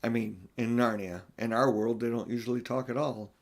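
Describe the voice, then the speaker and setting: An older man narrating a children's storybook as an audiobook reader, with expressive delivery. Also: mid-range voice, normal speed.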